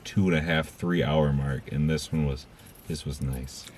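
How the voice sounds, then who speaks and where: A man's voice: extremely low pitch, fairly even intonation, normal speed, darkish tone. A man chatting casually as a guest, with some noise in the recording. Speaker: guest, a parent. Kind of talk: casual chat.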